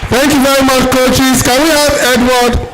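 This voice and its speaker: A man with a Nigerian accent hosting a live event for schoolchildren, speaking in a brisk, directive way and repeating his commands. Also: very high voice, little pitch movement. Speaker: event host, master of ceremonies. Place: outdoor cricket ground. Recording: outdoor event with loud crowd chatter. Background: crowd noise.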